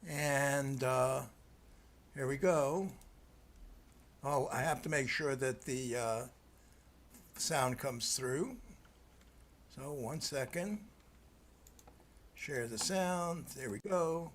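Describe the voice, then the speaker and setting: A man running the technical side of an online event, talking to himself as he shares a clip. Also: medium pitch. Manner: brisk and talking to himself while sharing his screen. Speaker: technical producer. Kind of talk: casual event chat.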